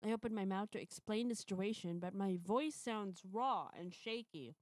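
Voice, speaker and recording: A woman's voice: mid-range voice, animated, normal speed, no echo. A woman streamer reading a visual novel's first-person narration dramatically, playfully breaking off for casual asides.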